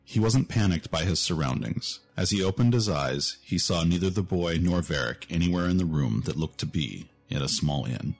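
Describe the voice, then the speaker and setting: A man's voice: sits low, expressive, normal speed, few pauses. A man narrating a scripted fantasy story as the narrator of an audio drama. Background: music.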